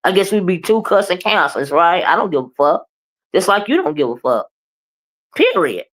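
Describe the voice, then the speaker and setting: Woman, loud and boisterous, blunt and profane, moody livestream ranter. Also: on the low side, sing-song, moderate pace, poor audio. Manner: blunt, profane, keeps asking "okay?" and "right?"; moody, confrontational. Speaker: live streamer and commentator. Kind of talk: ranting live commentary.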